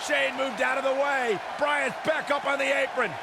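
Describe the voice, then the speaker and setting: Guy doing wrestling play by play, really high excited shouty voice, loud crowd roaring behind him. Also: normal speed, creaky. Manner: shouting, emphatic, repeats phrases. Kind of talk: excited sports commentary. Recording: loud noisy arena crowd. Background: roaring arena crowd.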